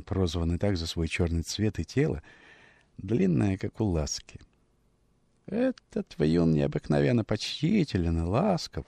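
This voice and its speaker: A man narrating a classic short story for radio in a measured, expressive literary manner with dramatic pauses. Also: on the low side, vocal fry, no echo, studio quality.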